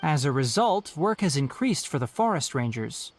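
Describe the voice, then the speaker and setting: A man playing a calm, courteous young forest ranger in a video game, speaking in a measured, articulate way. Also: fairly high pitch, slight background noise. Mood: explanatory. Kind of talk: video game dialogue acting. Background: background music.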